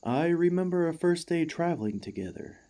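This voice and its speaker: A man playing an emotional, excitable warforged ex-gladiator in an ASMR roleplay, gentle and devoted, with earnest, formal, halting speech. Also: fairly high pitch, lightly acted. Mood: earnest, admiring.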